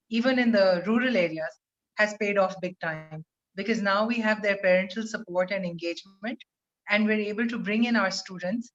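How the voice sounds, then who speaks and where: A woman, explaining her school program in a steady, articulate video call interview from a room at home. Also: medium pitch. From Pakistan. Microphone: webcam on video call. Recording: muffled video call audio.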